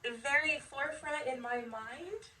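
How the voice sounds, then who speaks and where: High, bright American woman with some creak. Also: unhurried. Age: middle-aged. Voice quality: soft voice. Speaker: military veteran interviewee. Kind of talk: casual personal storytelling. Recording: distant mic with faint noise.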